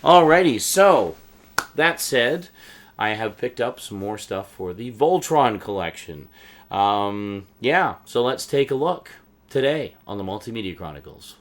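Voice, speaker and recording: A middle-aged man with a low voice talks casually, like a host showing off a collection. He rambles conversationally, often halting to think aloud as he goes. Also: expressive.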